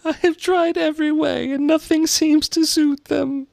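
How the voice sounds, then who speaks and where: A performer of unclear sex voicing an anxious, scolding mother pigeon from a storybook in a shrill, indignant screech. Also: moderate pace, no echo. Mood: sobbing exasperation. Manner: agitated, scolding, emphatic. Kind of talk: storybook character voice.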